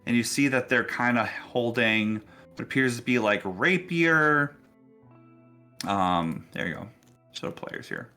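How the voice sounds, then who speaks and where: A man acting as game master, narrating casually and haltingly with frequent pauses. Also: mid-range voice, pushed and bright, faint music behind. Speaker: game master of a tabletop role-playing game.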